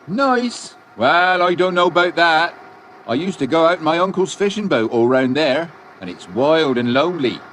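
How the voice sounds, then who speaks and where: High-voiced Cornish railway porter. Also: male voice, expressive, moderate pace, a bit bright, slight background noise. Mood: frank, folksy. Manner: colloquial, folksy, emphatic. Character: chatty Cornish railway porter, rustic and cautionary. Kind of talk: audiobook drama acting.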